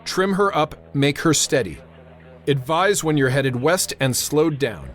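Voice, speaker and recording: An actor playing a tense, authoritative airfield controller in a radio drama, narrating and giving orders with clipped urgency. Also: male voice, fairly high pitch, very animated, measured pace, a little hiss or hum. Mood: firm, commanding. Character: tense, commanding male airfield tower controller narrating a crisis.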